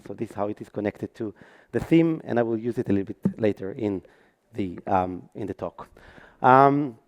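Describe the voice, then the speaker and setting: A man's voice: medium pitch, a little mellow. A man from Israel with an Israeli accent, speaking on stage at a conference with frequent ums and restarts. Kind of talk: conference talk.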